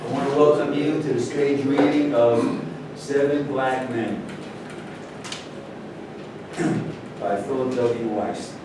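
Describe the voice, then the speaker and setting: Man, staged-reading narrator, scripted delivery, distant echoing room, noisy rumble. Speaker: narrator reading title, disclaimer and stage directions.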